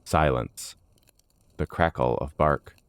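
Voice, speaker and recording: Very deep-voiced man narrating fantasy. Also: slow pace, clear, resonant tone, no room sound. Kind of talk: scripted fantasy story narration.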